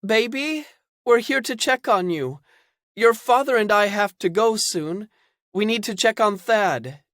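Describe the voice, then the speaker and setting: A woman's voice: mid-range voice, animated, a little slow, a bit bright, no room sound, studio quality, voice pushed up. A man voicing a fussy mother who coaxes her son through a closed door. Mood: coaxing.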